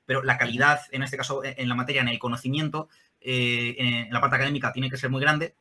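A man answers interview questions at length. He explains step by step, filling pauses with "eh". His tone is bright and forward at a middling pitch. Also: level tone. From Spain. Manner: explanatory, many "eh" fillers. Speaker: guest, think-tank worker.

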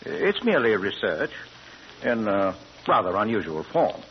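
Cultured gentleman criminal-doctor, unflappable, pompous, very animated delivery; dull vintage broadcast with faint noise. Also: fairly low pitch, moderate pace. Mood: calm, candid. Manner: precise educated diction, hesitant, politely pompous. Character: urbane scholarly doctor turned amateur burglar, curious and unflappable. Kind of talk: 1940s radio drama acting. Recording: muffled old radio broadcast, faint noise.

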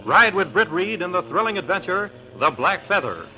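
A man's voice: sits high, very animated, normal speed, pushed and bright, a little hiss or hum. An American man reading scripted narration as a dramatic, declamatory 1940s radio announcer. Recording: dull vintage radio recording.

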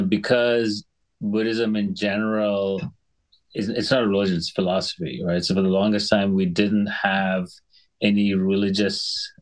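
A middle-aged man from Sri Lanka, a physician guest in a conversational interview, talking in a measured, explanatory way and often clearing his throat. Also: low-pitched, poor audio.

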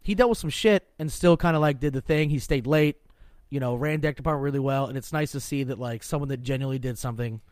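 A man's voice: mid-range voice, fast talker, a little mellow, no echo, clean studio sound. A man co-hosting a podcast in a laid-back, rambling way, swearing freely as he chats. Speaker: podcast co-host. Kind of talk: casual profane chat.

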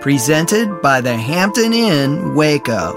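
A man hosting a sports podcast, reading scripted narration with a smooth, even delivery. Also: fairly high pitch, slow pace, over music. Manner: smooth read-aloud delivery. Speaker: podcast host.

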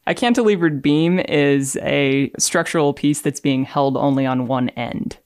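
A woman's voice: low voice, measured pace, a little mellow, no room sound, studio quality. A woman engineer speaking as a guest, explaining and reading aloud. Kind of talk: explanatory talk with dramatic readings.

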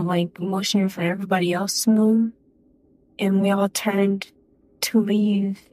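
Female witness, slow and flat testimony, halting with fillers, dry sound. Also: mid-range voice, no echo, rough recording. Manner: halting and hesitant, lots of "like" and restarts. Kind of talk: courtroom testimony.

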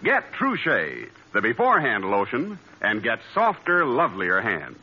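A man's voice: mid-range voice, huge pitch swings, a little slow, pushed and bright, husky. A man announcing in the style of a 1940s radio advertisement. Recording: dull vintage radio recording, faint music.

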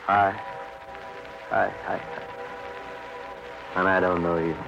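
A man's voice: deep voice, flat delivery, slow, creaky, a crowd in the background, heavily acted. A man playing a bitter, drunken young barfly in an old radio drama, rambling in a theatrical, sardonic, mockingly florid way. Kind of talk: 1950s radio drama acting. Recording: muffled old radio recording, faint music.

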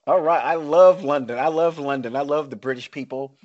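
A man's voice: fairly high pitch, brisk, a little mellow, no echo, muffled recording. American man hosting a podcast, dry sound. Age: middle-aged. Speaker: podcast host. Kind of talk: podcast hosting, scripted intro and interview chat.

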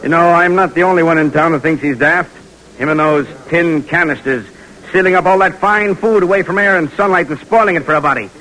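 A man's voice: a little high, fairly quick, pushed and bright, low-quality recording. An actor playing a sour, disapproving townsman who grumbles about a neighbor's ideas, in an old radio drama. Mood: grumbling. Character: sour small-town male critic, suspicious grump. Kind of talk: 1940s radio acting.

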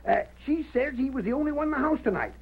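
Excitable American yokel, high creaky rasp, folksy stammer, tinny old radio Western. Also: male voice, fast talker. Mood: agitated. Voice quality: high, cracked, raspy. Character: agitated rustic frontier neighbor bringing bad news. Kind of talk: 1940s Western radio drama acting.

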